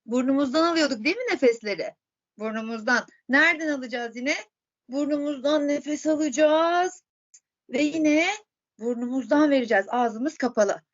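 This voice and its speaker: A woman's voice: high-pitched, normal speed, muffled recording. A woman teaching yoga to children, guiding relaxation with encouraging, repeated questions. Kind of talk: guided relaxation instruction for children.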